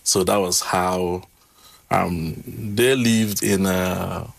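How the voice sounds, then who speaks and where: Man, gravelly voice, non-native English speaker, halting with fillers, dry close sound. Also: low voice, steady tone, no room sound. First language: not English. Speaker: interview guest. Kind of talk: casual interview storytelling.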